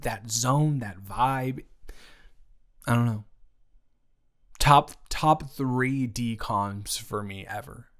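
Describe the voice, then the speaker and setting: A young American man improvising a casual roleplay chat, talking emphatically and haltingly, repeating words for stress. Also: fairly high pitch. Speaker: audio roleplay performer.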